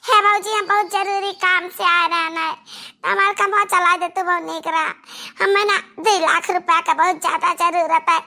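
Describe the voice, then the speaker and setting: A woman's voice: very high voice, steady tone, normal speed, bright, forceful tone, heavily acted. A woman voicing a melodramatic, scheming rustic village woman in an Awadhi-accented comedy cartoon. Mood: pleading.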